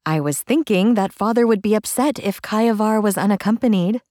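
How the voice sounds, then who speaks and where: A woman's voice: medium pitch, normal speed, warm tone, dry recording, studio quality, lightly acted. A woman voicing a stubborn, perceptive young fantasy sister, earnest and challenging, in an audiobook.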